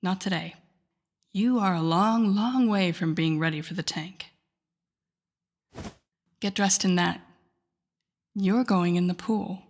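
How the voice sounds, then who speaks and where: A woman's voice: lowish voice, takes it slowly, pauses often. A woman playing a wry, teasing telepath villain who mentors a rookie hero, in an intimate, conversational audio roleplay. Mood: teasing, instructive. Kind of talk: intimate second-person audio roleplay acting.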